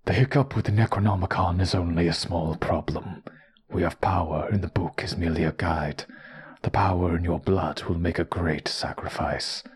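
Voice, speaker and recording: An actor playing a sinister, power-hungry cult leader in a horror audio drama, speaking in a hushed, menacing rasp. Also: male voice, low-pitched, steady tone, moderate pace. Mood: sinister, grandiose. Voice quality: husky, breathy rasp.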